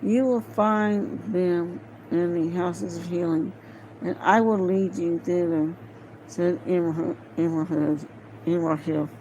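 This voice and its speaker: A woman's voice: on the low side, fairly even intonation, slow pace, mellow, low-quality recording. A woman reading a fantasy novel aloud as an amateur, hesitant and often stumbling over words. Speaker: amateur audiobook reader. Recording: home recording with faint background noise.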